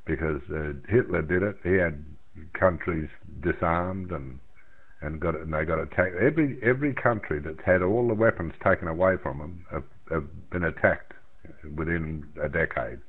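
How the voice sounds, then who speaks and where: A man's voice: low voice, moderate pace, slightly rough. An older Australian man chatting casually over amateur radio in a rambling, plain-spoken way, repeating himself as he goes. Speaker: amateur radio operator in a round-table chat. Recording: narrow, tinny radio transmission.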